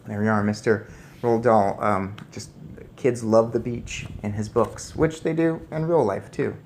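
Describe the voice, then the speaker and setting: A man's voice: on the low side, measured pace, a little mellow, slight background noise. A man narrating a children's story expressively as he reads aloud. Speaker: storybook reader.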